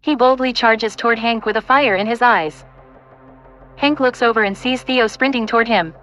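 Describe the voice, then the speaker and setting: A woman's voice: on the high side, moderate pace, fairly bright tone, faint music behind. A woman reading scripted stage directions as the narrator of an audio play.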